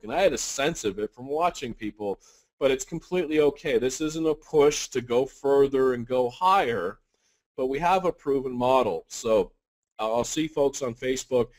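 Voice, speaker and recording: A man giving a casual business webinar update, working through percentages out loud with a reassuring, rambling manner. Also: mid-range voice, poor audio. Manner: casual, talks math out loud, frequent fillers and restarts, reassuring. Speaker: company leader on webinar.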